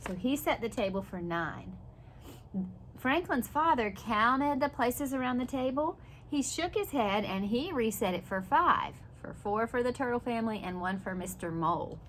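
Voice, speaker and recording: Lady teacher reading a picture book to little kids, animated, talks right to them, some noise behind her. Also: on the high side, normal speed, rough recording. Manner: animated, explains and questions young listeners directly. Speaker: preschool teacher reading aloud. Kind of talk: children's picture book read-aloud with asides.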